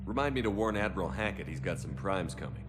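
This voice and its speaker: An actor playing a steady male military commander, direct and authoritative, in a video game cutscene. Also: deep voice, fast, over music. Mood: firm, composed.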